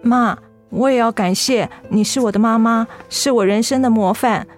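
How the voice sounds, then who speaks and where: A woman's voice: fairly high pitch, normal speed, faint music behind, lightly acted. A woman playing a devoted young adult daughter in a radio drama, emotional and plaintive, reluctant to let go. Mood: tearful, grateful. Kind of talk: Mandarin radio drama acting.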